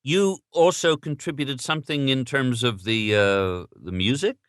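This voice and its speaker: A man working as an interviewer, introducing material and asking questions in a measured, slightly hesitant way. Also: medium pitch, a bit bright, no room sound, clean studio sound.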